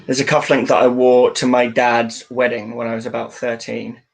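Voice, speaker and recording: Flat-toned, matter-of-fact man. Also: mid-range voice, moderate pace, gravelly, low-quality recording. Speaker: workshop participant. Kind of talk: unscripted description.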